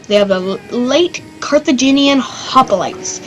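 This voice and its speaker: A woman hosting her own channel, giving loose, excitable commentary on a strategy game battle, rambling and swearing now and then. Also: high-pitched, a little slow, faint music behind, low-quality recording. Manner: rambling and excitable, swears, does silly voices. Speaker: gaming channel host.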